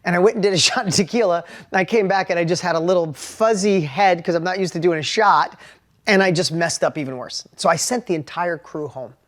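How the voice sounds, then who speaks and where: A middle-aged man with a New York accent and a high-pitched voice, giving an animated, plainspoken motivational talk about sales. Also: fairly quick. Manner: animated, plainspoken, repeats and restarts words. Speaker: entrepreneur and sales educator. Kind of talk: motivational talk with personal story.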